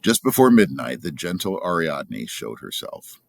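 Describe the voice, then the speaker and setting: A man's voice: deep voice, very animated, measured pace, vocal fry. A man reading a story aloud as a narrator. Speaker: storybook reader.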